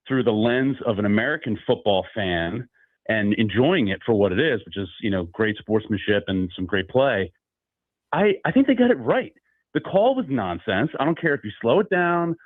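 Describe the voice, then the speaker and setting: A man's voice: medium pitch, no echo, narrow, tinny audio. A male caller heard over a thin, phone-band line with a dry sound. He talks in a casual, rambling way, full of ums and restarts, as he builds his point. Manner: conversational, many fillers, steady argument.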